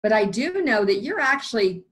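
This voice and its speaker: A woman's voice: fairly high pitch, sing-song, normal speed, a bit bright. A woman hosting an interview, asking friendly, encouraging questions that ramble a little. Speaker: interviewer, host. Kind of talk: casual interview.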